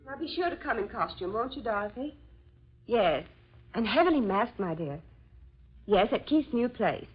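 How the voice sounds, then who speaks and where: An actress playing a gushing, refined society sweetheart in a 1940s radio drama. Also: female voice, a little high, lively intonation, normal speed, breathy edge. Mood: bright, sociable. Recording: muffled vintage radio recording, music behind.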